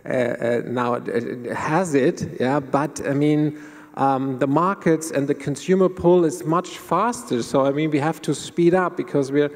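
A man with a creaky, fairly high voice talks on a conference panel. He builds his points in halting pieces. The hall adds a long reverberant tail. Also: a little mellow. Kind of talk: panel discussion. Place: large conference hall stage, indoors.

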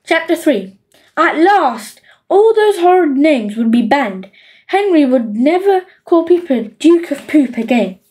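Slow, high-voiced woman reading stories. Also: sing-song, darkish tone. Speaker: audiobook narrator. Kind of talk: expressive children's story reading.

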